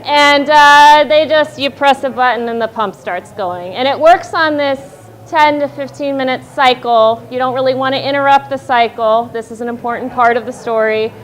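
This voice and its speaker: Loud high-voiced woman telling a story. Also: moderate pace. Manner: loud, wry, self-deprecating. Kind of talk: live storytelling on stage. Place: stage at a live storytelling event.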